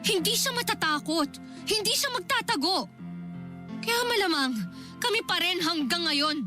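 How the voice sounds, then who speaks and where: A woman's voice: extremely high pitch, lively intonation. A woman playing a bitter, defiant younger sister in a Filipino radio drama, petulant and sarcastic. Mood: bitter, wounded. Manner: sarcastic, petulant, drawn-out delivery. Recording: dramatic music bed behind.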